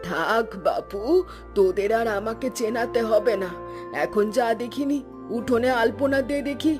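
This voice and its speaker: A woman's voice: a little high, unhurried, close to an everyday voice. Woman as a stern, motherly village aunt. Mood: scolding. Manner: scolding yet motherly. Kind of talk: Bengali cartoon dubbing. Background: loud background music.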